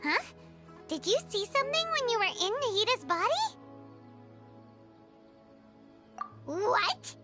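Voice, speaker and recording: A woman voicing a tiny childlike fairy companion in a video game, excitable, exclamatory and squeaky. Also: normal speed, full character voice. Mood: puzzled, worried. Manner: exclamatory, refers to self in third person. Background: game music.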